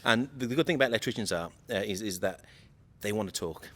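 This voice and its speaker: A man in his late forties with a slightly croaky voice, chatting as a guest on a podcast and often repeating words while he searches for them. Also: lowish voice, expressive, fast, a bit bright. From United Kingdom. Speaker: guest from an electrical equipment maker. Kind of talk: casual podcast chat.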